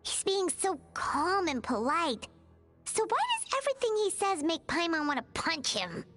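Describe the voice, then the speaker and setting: Shrill, excitable little fairy companion, girlish and blunt, for a game. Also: moderate pace, soft background music, exaggerated voice. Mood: exasperated. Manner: shrill, exclaims, talks about herself by name. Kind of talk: video game cutscene dub.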